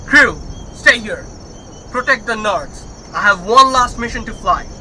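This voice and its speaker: Bossy, heroic space captain, played by a man: very high male voice, lively, clear background noise. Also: unhurried, heavily acted, poor audio. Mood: heroic, self-important. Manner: commanding, melodramatic. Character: vain, theatrical male starship captain, brave and bossy. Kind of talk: sci-fi sitcom audio drama acting.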